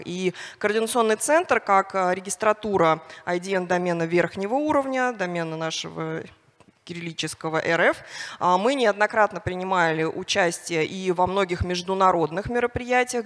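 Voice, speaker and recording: Hesitant woman speaking in a reverberant hall. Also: mid-range voice. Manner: hesitant, many fillers and restarts. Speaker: conference panelist. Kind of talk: conference panel talk. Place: large conference hall on stage. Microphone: handheld mic.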